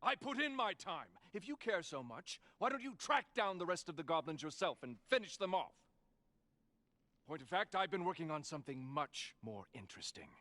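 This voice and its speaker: An actor playing a suave, arrogant villain in a video game, smug, theatrical and condescending. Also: male voice, on the high side, big pitch swings, normal speed, bright, forceful tone, no room sound. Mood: proud dismissive. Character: suave arrogant male villain, wealthy inventor.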